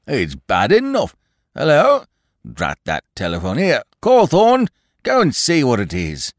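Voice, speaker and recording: A grumpy, harassed police inspector, as a male reader puts him on. He speaks gruffly and morosely in a lowish voice, with lively rises in pitch. The sound is dry and close. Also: moderate pace, no echo, full character voice. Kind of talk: audiobook reading.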